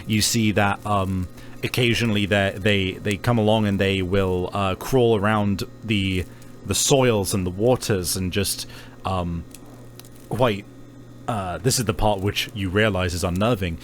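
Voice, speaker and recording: A man narrating a tabletop roleplaying game as game master, in a halting, conversational storytelling manner. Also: on the low side, steady tone.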